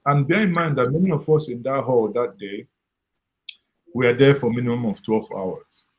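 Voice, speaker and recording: Man, mid pitch, firm, interrupting, insistent, forum discussion. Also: normal speed, a little mellow. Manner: interrupts firmly, insists on being heard. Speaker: forum participant.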